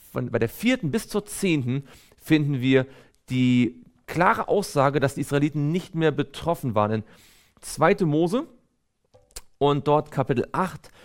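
A man preaching a sermon in an earnest, exhortative way, reading scripture aloud and stressing key phrases. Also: fairly high pitch.

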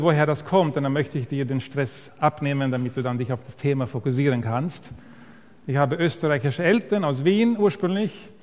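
A man's voice: a little high, a little mellow. A man in his fifties from Sweden with an Austrian-Swedish accent, a pastor giving a friendly church lecture that speaks directly to the listeners, with occasional hesitations. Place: church hall. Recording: reverberant hall.

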